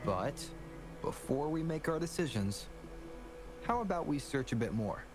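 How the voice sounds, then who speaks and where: A man playing a calm, reassuring adult companion in an anime game, gentle and encouraging. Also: fairly high pitch, unhurried. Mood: gentle encouragement. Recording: game music behind the voice.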